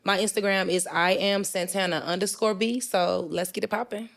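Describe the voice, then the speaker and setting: A young woman from Mississippi, a guest telling stories in a relaxed chat. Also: mid-range voice, normal speed, vocal fry. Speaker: podcast guest. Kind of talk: casual chat.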